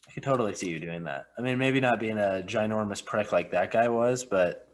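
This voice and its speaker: A man's voice: on the low side, fairly quick, a little hiss or hum. A man hosting a film podcast in casual chat, full of joking, rambling banter. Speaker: podcast host.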